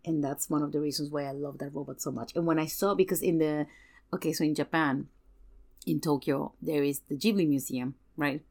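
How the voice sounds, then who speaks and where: A woman in a casual film discussion, speaking earnestly and emphatically and pausing to search for words. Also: low voice, fairly even intonation, a little mellow. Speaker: podcast guest.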